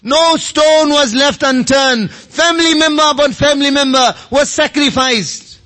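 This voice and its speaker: Male preacher, very high and bright voice, emotional sermon delivery with insistent repetition. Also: a little slow. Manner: emotional, repeats phrases for rhetorical emphasis. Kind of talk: impassioned Islamic sermon with Arabic quotations.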